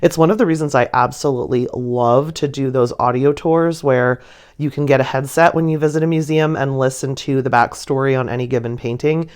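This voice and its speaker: A woman's voice: rumbling low, fairly even intonation, a little fast, darkish tone, clean tone. Giggly woman chatting playfully. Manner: giggly, laughs through sentences; playful, gushing, whimsical. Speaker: artist presenting own painting video. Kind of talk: casual storytelling chat, voice-over to viewers.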